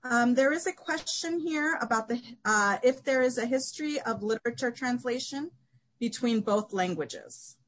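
A woman's voice: on the high side, moderate pace, poor audio. A woman who teaches Japanese literature, interviewing a guest and reading out questions with a few fillers.

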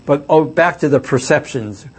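A man's voice: on the high side, moderate pace, husky. An older man, an author and former reporter, telling stories at a book talk in a reflective, hesitant way with many fillers. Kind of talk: book talk with audience questions.

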